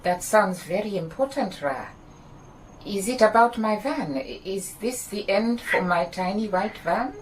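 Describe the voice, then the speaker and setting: An actress playing a calm, shrewd, kindly detective in a radio drama, with a Southern African accent and a measured, gently wry delivery. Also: female voice, mid-range voice, slight background noise, close to an everyday voice, poor audio. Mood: curious.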